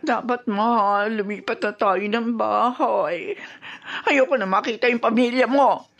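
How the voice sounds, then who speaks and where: An actress playing an aggrieved, scheming mistress in a radio drama, complaining emphatically. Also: female voice, fairly high pitch, measured pace. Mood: whining.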